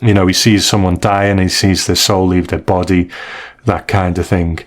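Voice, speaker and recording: Male co-host with a lowish voice, measured and understated, chatting through a casual review. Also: level tone. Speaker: podcast co-host.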